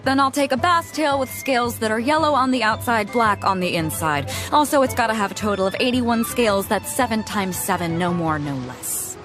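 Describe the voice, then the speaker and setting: A brash pirate captain who teases and commands with ease, voiced by a woman. Her voice swings widely as she talks. Game music plays clearly behind her. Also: on the high side, expressive, fairly quick, few pauses, lightly acted. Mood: confident. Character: bold confident female pirate captain. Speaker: fleet captain. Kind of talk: video game cutscene dialogue. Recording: game audio with background music.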